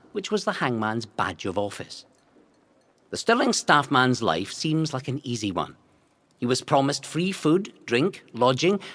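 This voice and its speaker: A man reads a scripted historical narration in a theatrical, measured storytelling manner. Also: medium pitch, fairly bright tone. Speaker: narrator of a local history audio guide.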